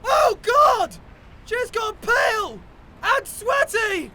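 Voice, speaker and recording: A man's voice: extremely high pitch, sing-song, unhurried, pushed and bright, exaggerated voice. A man playing a dramatic, accusing member of a friend group in an audio play. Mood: panicked.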